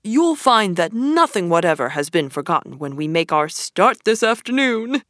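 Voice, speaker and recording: A woman voicing a boastful, excitable male toad in a storybook reading, gushing and showy. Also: lowish voice, normal speed, no echo, studio quality. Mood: excited boasting.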